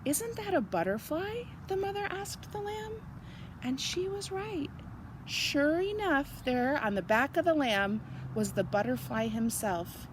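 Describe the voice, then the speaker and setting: A woman reading a picture book aloud to children as expressive narration, sing-song and emphatic. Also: sits high, a little slow, noisy background.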